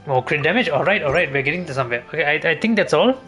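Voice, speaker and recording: A man's voice: a little high, lively intonation, rapid-fire, bright, forceful tone. A man giving casual gameplay commentary as a streamer, muttering to himself. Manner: muttering to himself, mild swearing. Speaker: gaming streamer. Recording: music playing behind the voice. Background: music.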